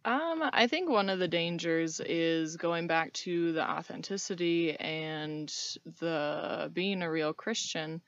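A woman's voice: lowish voice, lively intonation, slow, hardly any pauses, no echo, highs cut off. A woman in her thirties from North Dakota, a guest answering earnestly in a casual interview, with frequent "um"s. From North Dakota, USA. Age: 30s. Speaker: podcast guest.

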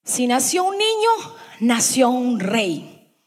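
A woman's voice: high-pitched, sing-song, slow, big-room sound. A middle-aged woman preaching a sermon to her congregation, emphatic and fond of repeating her lines.

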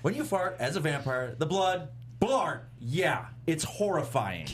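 A man playing a mopey thirty-five-year-old vampire in a comedy script read, deadpan and self-deprecating. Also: fairly high pitch, a little slow, faint music behind. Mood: wry.

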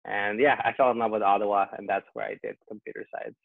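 A man's voice: lowish voice, quick pace, vocal fry, no room sound. Young man on a tinny call line. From Peru, raised in Canada. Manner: relaxed and upbeat, frequent ums. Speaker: guest, software engineer. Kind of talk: casual interview. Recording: tinny phone-like line, dry.

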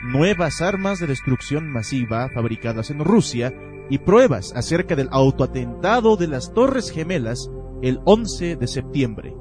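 Male announcer, animated intonation, scripted show intro over a prominent music bed. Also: medium pitch, a little slow. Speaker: radio announcer.

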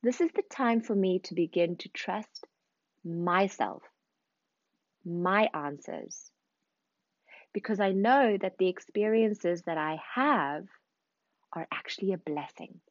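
A woman's voice: fairly low pitch, expressive, slow, long pauses, no room sound, dull audio. A woman from Zimbabwe, raised in South Africa, with a South African accent, giving an earnest, reflective motivational podcast talk and repeating phrases for emphasis. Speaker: podcast host, speaking coach.

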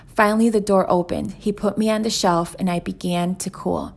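Woman as a plaintive talking teacup, creaky voice. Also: medium pitch, steady tone, normal speed. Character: talking teacup recounting its painful making, plaintive. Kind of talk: inspirational parable read aloud.

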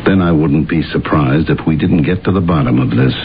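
An actor playing a shrewd, composed American police detective in a radio drama, measured and matter-of-fact. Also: male voice, a bass voice, loud music behind the voice. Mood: shrewd, calm. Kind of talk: 1970s radio drama acting. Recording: muffled, faintly noisy old radio broadcast.

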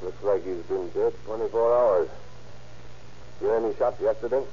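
An actor playing a brisk, commanding homicide captain in old radio crime drama style, clipped and authoritative. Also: male voice, lowish voice, mellow, lightly acted. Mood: probing. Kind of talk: 1930s-40s radio crime drama acting. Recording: muffled, noisy old-time radio recording.

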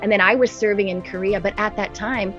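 A woman sharing her story in an interview, heartfelt and softly earnest. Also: mid-range voice, fairly even intonation, a little fast, dull audio, faint music behind. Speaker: guest. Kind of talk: interview answers.

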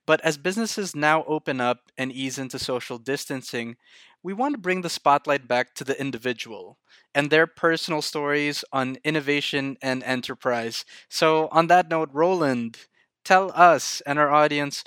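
A man hosting a podcast, opening the episode with a smooth, upbeat scripted intro. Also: on the high side, normal speed, a bit bright, no echo. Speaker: podcast host. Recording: dry close studio sound.

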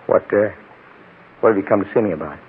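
An American actor playing an evasive, guilty husband who stammers hesitantly, in old radio crime drama style on an old recording. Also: male voice, on the low side, quick pace, slightly rough, narrow, tinny audio. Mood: uneasy, evasive.

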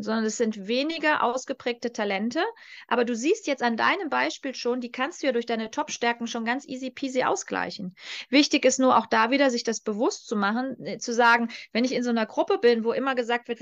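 Female podcast guest, strengths coach. Fairly high pitch, direct and emphatic, slightly dull recording. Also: fairly bright tone. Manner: emphatic, direct, with frequent checking tag questions. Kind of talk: explanatory coaching talk in a podcast.

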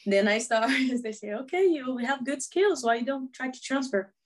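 A woman's voice: high voice, lively intonation, moderate pace. A woman in her twenties from Brazil, a footballer, chatting as a podcast guest in English learned as a second language, with small grammar slips and easy laughs. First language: Portuguese. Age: 20s. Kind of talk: casual interview chat.

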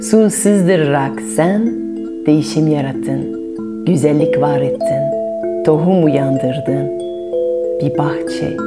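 Woman as a patient, unhurried water carrier. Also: low voice, loud music behind the voice, pitched below the performer's own voice. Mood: reassuring. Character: kind, patient male water carrier, reassuring and grateful.

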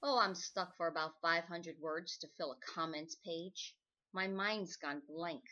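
A woman's voice: fairly low pitch, measured pace, sharp tone, highs cut off. An actress playing an overworked, wry woman writer on deadline in an old-time radio drama, sounding exasperated. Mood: weary, casual.

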